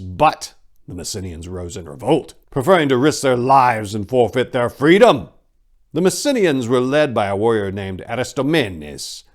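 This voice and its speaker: A man with a fairly low voice reads a scripted tale as a dramatic narrator. His delivery is theatrical and deliberate, swelling with emphasis on key phrases. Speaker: podcast host and narrator.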